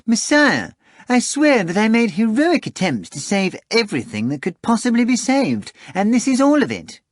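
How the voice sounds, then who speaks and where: A man voicing a boastful, excitable male cat who talks in a shrill, theatrical rush. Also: moderate pace, no echo, studio quality. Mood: excited. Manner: shrill, breathless, pleading and theatrical.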